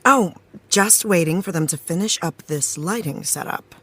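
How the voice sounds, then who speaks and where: An actress playing a relaxed, teasing TV star, speaking casually in a naturalistic audio drama. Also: female voice, fairly low pitch, normal speed, husky, no room sound. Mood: friendly, teasing.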